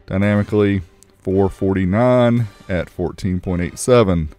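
Low-voiced man narrating an amp test. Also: unhurried, warm tone, soft background music. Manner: matter-of-fact, technical, casual. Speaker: car audio reviewer. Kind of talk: product review and test narration.

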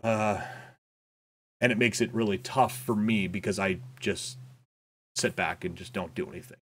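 A young man with a slightly low voice speaks candidly to his viewers in a personal update. He is reflective and halting, pausing on fillers and restarting his sentences. He is recorded close on a desk microphone in a small home room. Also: fairly bright tone. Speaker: content creator. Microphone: boom-arm desk mic, wearing headphones.

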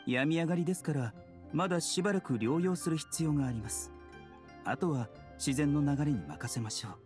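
Man playing a courteous, secretive doctor, expressively pitched. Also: lively intonation, fairly quick, a little mellow, faint music behind, lightly acted. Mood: humble, soothing. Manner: courteous, composed, formal. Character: polite, secretive, gentle male doctor. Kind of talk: Japanese anime game acting.

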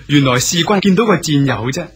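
An actor playing a friendly scholar guest in a Cantonese radio drama, coaxing and cheerful. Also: male voice, fairly high pitch, animated, brisk, close to an everyday voice.

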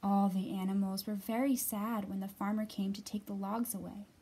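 A woman's voice: mid-range voice, little pitch movement, normal speed, mellow, husky. A woman in her thirties hosting a children's storytime, reading and talking expressively to young listeners as a librarian. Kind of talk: picture book read-aloud and storytime talk for young children.

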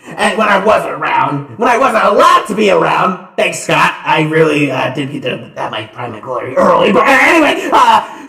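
A man voicing an excitable, cheeky animatronic bear showman in a theatrical, exclamatory reaction commentary. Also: very high voice, vocal fry, reverberant, exaggerated voice. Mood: wistful. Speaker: channel host. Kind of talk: reaction video commentary in character.

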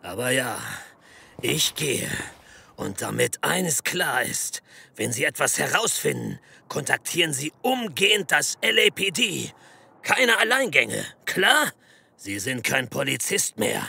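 An actor playing a pompous, disdainful police captain in a comedy audio drama, speaking stiffly and formally. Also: male voice, medium pitch, big pitch swings, creaky, bright, forceful tone, husky, full character voice. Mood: scolding, stern. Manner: stiffly formal, haughty, hesitant pauses.

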